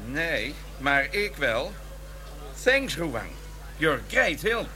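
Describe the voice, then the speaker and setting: A man's voice: on the high side, sing-song, drawn-out words, bright, forceful tone, heavily acted. An actor playing an earnest, plain-spoken Dutch policeman in a radio drama, with a Dutch accent. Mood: dry. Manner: plain-spoken, simplified English to foreigners. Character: earnest, squeamish Dutch policeman on a case abroad. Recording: music behind the voice. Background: music.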